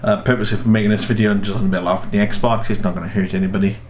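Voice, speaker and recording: Young male tutorial host, low voice, rambling casual explanations, recorded at home with some background noise. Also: flat delivery, very fast, darkish tone. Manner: rambling, repeats and restarts phrases. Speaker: host of a tech tutorial channel. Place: small room at home, indoors.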